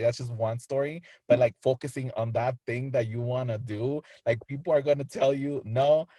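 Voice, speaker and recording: A man's voice: mid-range voice, mellow, no echo. A man, an artist speaking on an online panel, talking casually with many fillers.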